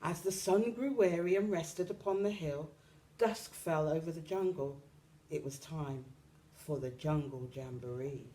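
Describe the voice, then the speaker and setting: A woman reading a children's picture book aloud expressively, with dramatic, deliberate phrasing for young listeners. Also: sits low, mellow. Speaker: storytime reader.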